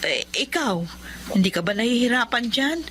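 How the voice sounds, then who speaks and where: An actress playing a devoted, self-sacrificing young wife in a radio drama, speaking earnestly and tenderly. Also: female voice, on the high side, lively intonation, normal speed, a bit bright, slight background noise. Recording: clear music bed behind.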